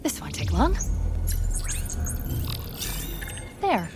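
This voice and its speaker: A woman voicing a quick-witted, wry AI companion in a video game, crisp and assured. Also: mid-range voice, huge pitch swings, noisy background. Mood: wry, brisk. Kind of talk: cinematic game acting.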